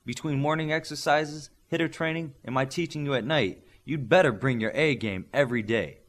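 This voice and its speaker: A man's voice: mid-range voice, brisk, a bit bright, pitched above the performer's own voice, natural voice. A man reading an audiobook as a stern male combat coach, firm, blunt and demanding. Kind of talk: audiobook character dialogue.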